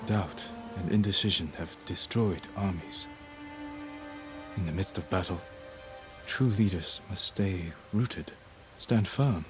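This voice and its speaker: An actor playing a stern, restrained young samurai lord in a video game, speaking in a terse, serious, determined manner. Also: male voice, low voice, slow, long pauses, over music. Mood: resolute. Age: adult. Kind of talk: dramatic video game acting.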